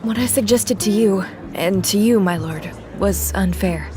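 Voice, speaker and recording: A woman's voice: medium pitch, measured pace, music in the background, close to an everyday voice. A woman playing a polite, controlled town official in an audio drama. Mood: apologetic, firm. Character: composed, formal female town official, diplomatic.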